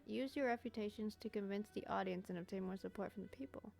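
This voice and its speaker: A woman's voice: mid-range voice, fast talker, gravelly, a little mellow. A woman streaming a story game with casual, slangy, exclamatory commentary, sometimes reading prompts aloud.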